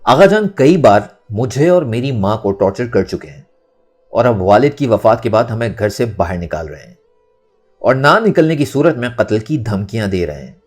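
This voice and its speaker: A man's voice: medium pitch, sing-song, normal speed, faint music behind. A man voicing a confident, resolute young woman in an audio story, speaking firmly and formally. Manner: firm, composed, formal pleading.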